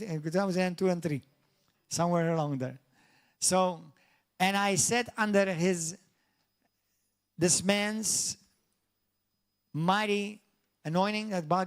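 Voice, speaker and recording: A man with a Maltese accent, a native Maltese speaker, preaching a sermon and repeating himself in English and Maltese. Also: high voice. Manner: earnest, restarts mid-phrase, rises to an emphatic welcome. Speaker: pastor.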